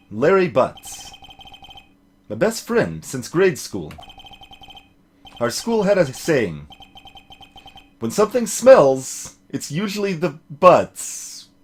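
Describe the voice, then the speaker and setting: A man's voice: a little high, darkish tone, slight background noise. A man voicing an earnest, nervous young male rookie lawyer in a video game, a little hesitant, over music. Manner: hesitant, stammering. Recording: music playing behind the voice.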